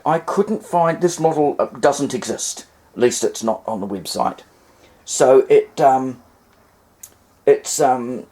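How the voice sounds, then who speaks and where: A man's voice: mid-range voice, a little mellow. A man giving a casual, rambling, hesitant demonstration as a hobbyist presenter. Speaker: vintage radio hobbyist presenter.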